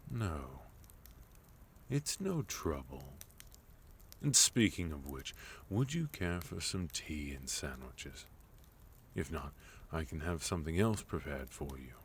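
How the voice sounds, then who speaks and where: A man's voice: very deep voice, a little hiss or hum, heavily acted. A man playing a sophisticated villain leader in a fantasy ASMR roleplay, polite, formal and soothing as he dotes on a captive guest.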